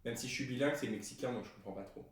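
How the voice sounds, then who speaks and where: A man's voice: medium pitch, rapid-fire. A man co-hosting a podcast in casual chat, joking and laughing often. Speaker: podcast co-host. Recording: very echoey room, distant mic.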